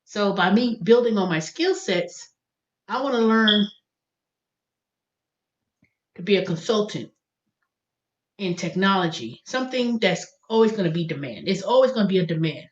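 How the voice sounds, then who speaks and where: A woman in her early fifties with an American accent talks at a medium pitch in a casual, rambling livestream style. She thinks out loud, often repeating and correcting herself. The recording is a little dull and lo-fi. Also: animated, moderate pace. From United States. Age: early 50s. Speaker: livestream host.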